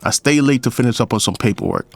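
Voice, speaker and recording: A man's voice: medium pitch, expressive, fast talker, creaky, heavy background noise. A man playing a lonely, wry, middle-aged police captain who narrates his story in an audio drama. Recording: faint music behind the voice.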